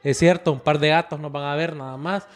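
A man's voice: on the high side, steady tone, brisk. A man from Nicaragua co-hosting a casual chat, opinionated and slangy, dropping in English phrases. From Nicaragua, born in the United States. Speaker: podcast co-host.

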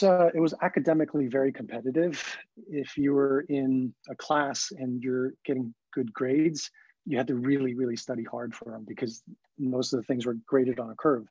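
A man in his forties with a husky, mid-pitched voice tells his own story as an interview guest. He grew up in Hong Kong and learned English as a child, and he speaks in a reflective, conversational way. The recording is a little dull. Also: a little mellow, no room sound. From Hong Kong, then the California Bay Area from age ten. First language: not English, learned it at about ten. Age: 40s.

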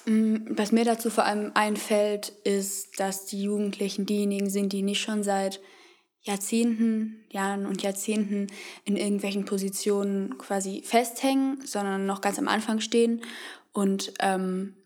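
Teen woman, animated, hunts for words mid-sentence. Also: medium pitch, normal speed. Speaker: volunteer, panelist. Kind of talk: casual discussion.

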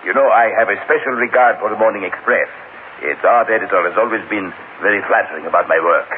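A man's voice: on the low side, quick pace, close to an everyday voice. A man playing a courteous, superstitious European-born sculptor in an old radio drama, speaking formally and expansively. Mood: gracious. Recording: noisy, narrow-band old radio broadcast.

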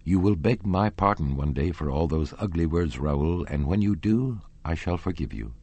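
A man reading the lines of a desperate young woman singer, low and urgent, pleading. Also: lively intonation, moderate pace, darkish tone, breathy edge, dry recording, heavily acted. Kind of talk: audiobook character reading.

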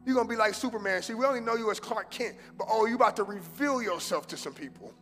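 A man with a high voice preaching to his congregation, slipping easily between casual street slang and fervent exhortation. His words ring out with a long hall echo and soft music underneath. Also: fast talker. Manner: casual street slang mixed with preaching, swings from loud fervor to hushed prayer. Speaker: pastor. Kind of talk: sermon. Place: church sanctuary.

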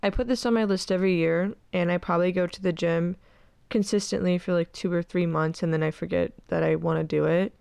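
A young woman in her twenties hosts her podcast in a loose, rambling way, leaning on "like" constantly. Her nose sounds stuffed up, and a gravelly vocal fry runs under a smooth voice. The sound is dry, with no room echo. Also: on the low side, fairly even intonation, brisk, a little mellow. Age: 20s. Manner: rambling, peppered with "like". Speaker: podcast host. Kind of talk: casual chat.

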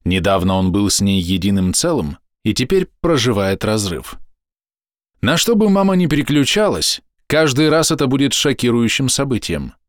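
A man reading a scripted explanatory voice-over in measured, deliberate short phrases, close to the microphone. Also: mid-range voice, sing-song, no room sound. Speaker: narrator.

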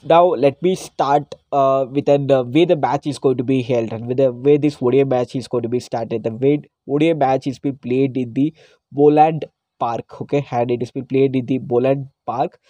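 A man, hosting a casual solo podcast, hoarse from a cold and pausing often with fillers. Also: medium pitch, fairly even intonation, warm tone, dry recording. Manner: hesitant, frequent "uh" fillers. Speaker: podcast host.

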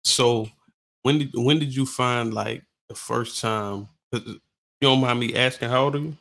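Bright, hyped podcast host. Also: male voice, medium pitch, a little slow, creaky. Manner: hyped, filler-heavy, lots of "like" and "come on, man". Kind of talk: casual interview.

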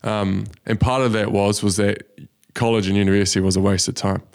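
A young man, a business founder telling his story in a relaxed way, with many ums. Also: lowish voice, brisk. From New Zealand. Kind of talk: casual interview. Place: studio.

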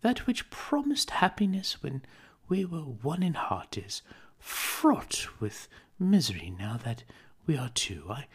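A woman's voice: deep voice, sing-song, slow pace, breathy edge, full character voice. An actress playing a young woman who earnestly and sadly ends an old engagement. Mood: reproachful. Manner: earnest, soft, sorrowful.

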